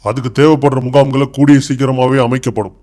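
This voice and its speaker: A man playing formal, authoritative figures of an old Indian kingdom in an animated moral tale. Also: medium pitch, fast, lightly acted. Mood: approving, regal.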